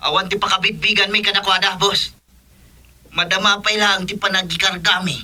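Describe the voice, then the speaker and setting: A man's voice: high voice, steady tone, normal speed, sharp tone, heavily acted. A man with an Ilocano accent playing a combative, urgent gang gunman in a radio drama. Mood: reporting anxiously. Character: tense male gunman leading an armed gang. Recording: noisy, sirens and vehicle effects behind. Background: sirens and vehicle sound effects.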